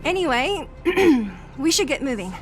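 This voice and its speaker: A woman's voice: high voice, big pitch swings, measured pace, soft background music. A woman voicing a brash, scrappy young underground fighter in a video game, with a blunt, casual, cocky manner. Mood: brisk, casual.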